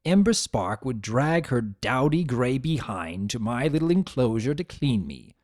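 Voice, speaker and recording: A man's voice: medium pitch, sing-song, a little slow, darkish tone. A man reading fan fiction as a dramatic, scripted first-person narration.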